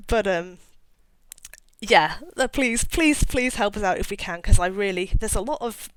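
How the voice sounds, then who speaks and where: A woman's voice: mid-range voice, sing-song, takes it slowly, pauses often. A middle-aged woman talking casually as an author about her own work, in a chatty, rambling way with self-mocking asides. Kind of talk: casual talk to camera.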